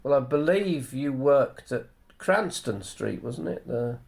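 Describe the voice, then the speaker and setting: A man's voice: medium pitch, expressive, takes it slowly, gravelly, a little mellow. A man hosting a podcast and interviewing a guest, encouraging her with short affirmations. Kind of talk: friendly interview.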